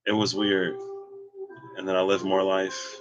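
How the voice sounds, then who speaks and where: A man's voice: sits low, monotone, unhurried, muffled recording, music in the background. A man in his late twenties, talking candidly and reflectively while reading cards, laughing now and then. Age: 20s. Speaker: tarot reader. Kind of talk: casual spoken card reading.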